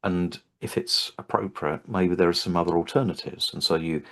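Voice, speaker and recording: A man with a very deep, low voice, older, talking through his training work in a relaxed interview. He restarts his sentences often and thinks aloud, hesitant but friendly in tone. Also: normal speed. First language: English. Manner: thoughtful, hesitant with frequent restarts. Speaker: Havening trainer and host. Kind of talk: casual interview chat.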